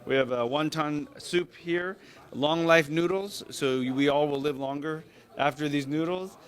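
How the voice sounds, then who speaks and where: A man, a chef, explaining symbolic holiday dishes to reporters in a relaxed way. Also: a little high, unhurried. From United States, Thai Chinese family. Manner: relaxed, explanatory, checks in with "right?" and "okay?". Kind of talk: explaining a menu to press.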